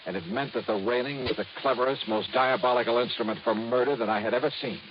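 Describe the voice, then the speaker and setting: An actor playing a tough, wry insurance investigator in a 1950s radio drama, hard-boiled and dramatic. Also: male voice, mid-range voice, steady tone, fast, lightly acted. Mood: tense, dramatic. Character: tough, wry freelance insurance investigator, narrating his case. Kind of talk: 1950s radio detective narration and acting. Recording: muffled, very noisy old radio recording.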